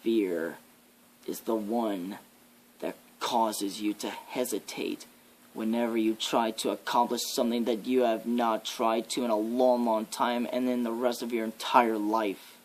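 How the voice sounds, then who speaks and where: A man voicing a menacing devil in an ASMR horror roleplay, with an ominous, drawn-out, theatrical delivery. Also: fairly low pitch, flat delivery, slight background noise, natural voice, low-quality recording. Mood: brooding menace. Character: menacing devil, a living nightmare preaching fear and death.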